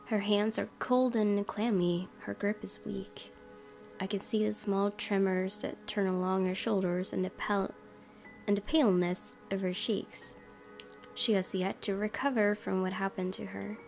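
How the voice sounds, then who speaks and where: A woman game streamer reading a visual novel aloud as expressive narration. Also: medium pitch, measured pace, creaky, soft background music.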